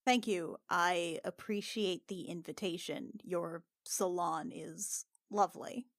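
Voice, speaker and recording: A woman's voice: lowish voice, fairly even intonation, slow pace, creaky, no echo, clean studio sound. A woman roleplaying casually as a tabletop game player, choosing her words with thoughtful hesitation.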